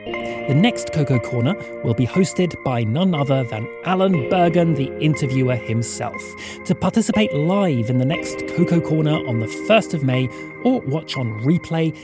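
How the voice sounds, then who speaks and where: A woman reading scripted podcast credits and an appeal to listeners as the show's announcer. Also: low voice. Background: music under the voice.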